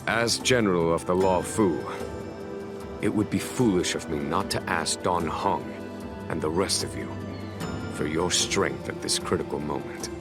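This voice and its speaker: An actor playing a composed, commanding general in a game, speaking in a measured, formal and courteous manner. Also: male voice, low voice, over music. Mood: grave, courteous. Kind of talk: scripted game dialogue.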